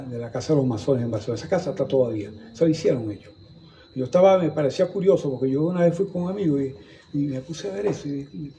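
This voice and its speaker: A man's voice: medium pitch, gravelly, mellow, a little hiss or hum, rough recording. Older Venezuelan man, historian guest, meandering, restart-prone delivery; faint ambience behind. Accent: Venezuelan Spanish. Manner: rambling, repeats and restarts words while recalling. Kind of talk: conversational storytelling in a museum talk. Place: museum gallery, indoors.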